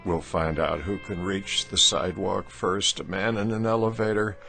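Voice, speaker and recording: An actor playing a weary aging American man desperate for youth, speaking slowly and deliberately in a radio drama. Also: sits low, steady tone, creaky, sharp tone, soft background music, full character voice. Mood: weary resolve. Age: older. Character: weary aging brother, desperate to become young again.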